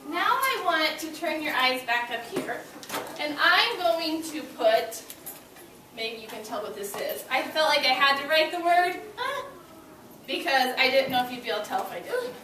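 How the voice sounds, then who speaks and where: Lady teacher talking to little kids, high bright voice, kinda far from the mic in an echoey classroom. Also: big pitch swings, moderate pace. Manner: addresses young children directly with short prompts and questions. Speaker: elementary school teacher. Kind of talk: interactive classroom read-aloud lesson. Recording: roomy, distant mic with background noise.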